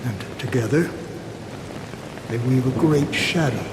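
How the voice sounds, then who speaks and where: An actor playing a solemn, cryptic man in a video game cutscene, speaking gravely and poetically with deliberate phrasing. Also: mid-range voice, background noise, close to an everyday voice. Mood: solemn, foreboding. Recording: echoing hall-like reverb with music.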